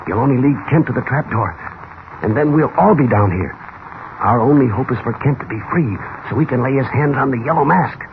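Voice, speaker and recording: Male actor as police commissioner, cautious, urgent, mid-pitched, 1940s radio acting, muffled noisy recording. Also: lively intonation, brisk, lightly acted. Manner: grave, urgent, commanding.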